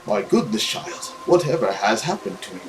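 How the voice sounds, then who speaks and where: Man doing a hushed, low, concerned old priest. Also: big pitch swings, normal speed, recorded from a distance, slight background noise, low-quality recording.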